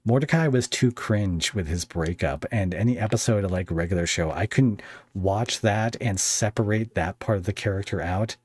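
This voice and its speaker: A man's voice: lowish voice, moderate pace, darkish tone, breathy edge, no echo. A man hosting a podcast, chatting casually about pop culture in a wry, rambling, hesitant way. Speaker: podcast host interviewing a guest.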